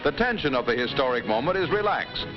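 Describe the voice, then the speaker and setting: A man's voice: mid-range voice, sing-song, fast. An American man reading scripted narration in the style of a 1950s newsreel. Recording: dull vintage newsreel soundtrack, loud music.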